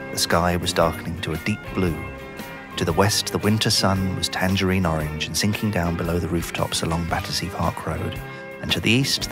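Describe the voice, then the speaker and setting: A man narrating a scripted children's story. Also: low voice. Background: music.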